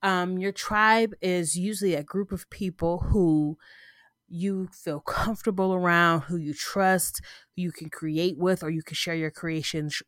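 Woman podcaster, congested and sniffly, lowish voice, loose rambling delivery, close dry mic. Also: steady tone, unhurried, fairly bright tone, no echo. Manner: rambling, informal, frequent "right?" asides and self-restarts. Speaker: podcast host. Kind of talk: casual solo podcast talk.

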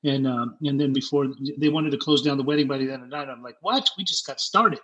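A middle-aged man, born in Germany and raised in Utah, telling his own story as an interview guest in a candid, halting way, often repeating words and growing animated as he retells scenes. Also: medium pitch, highs cut off.

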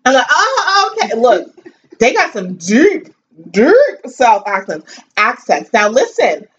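A woman's voice: fairly high pitch, takes it slowly. A woman with a New York accent, talking bluntly and with swearing in a casual chat about a reality show. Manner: blunt, profane, animated. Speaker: co-host. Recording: distant, dull, faint hiss.

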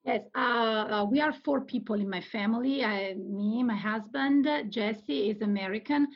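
A woman's voice: fairly high pitch, moderate pace, breathy edge, highs cut off. A woman with an Italian accent, a guest on an interview podcast, answering questions with frequent fillers and restarts. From Italy. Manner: hesitant, many fillers and restarts.

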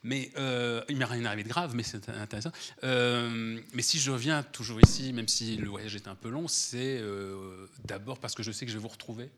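Man, guest author, has a cold, rambling and animated, lots of fillers. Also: medium pitch, normal speed, hardly any pauses, very noisy. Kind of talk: relaxed conversational talk at a public event. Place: event hall before an audience.

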